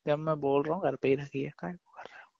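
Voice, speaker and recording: A man's voice: a little high, steady tone, fast, vocal fry, crowd noise. Young man reassuring on a muffled phone call. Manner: pleading, reassuring. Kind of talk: casual phone chat between a couple. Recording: dull, dry phone call recording.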